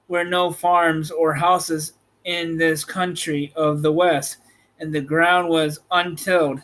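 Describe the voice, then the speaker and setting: A man narrating a storybook aloud as host, in a measured, slightly halting read. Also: a little high, fairly bright tone. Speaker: host reading an audiobook chapter.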